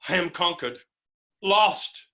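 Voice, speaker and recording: An actor playing a hot-headed, stammering landowner who is helplessly falling in love, in a theatrical staged reading of a farce. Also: male voice, high voice, animated, sharp tone, full character voice. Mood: desperate, lovestruck. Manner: theatrical, stammering, swings from halting to bellowing.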